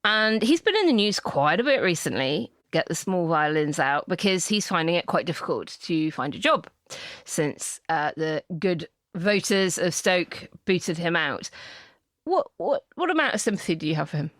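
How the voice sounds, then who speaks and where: A woman's voice: fairly low pitch, big pitch swings, normal speed, a bit bright, dry recording, studio quality. A woman co-hosting a podcast, presenting items and chatting with a guest. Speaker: podcast co-host.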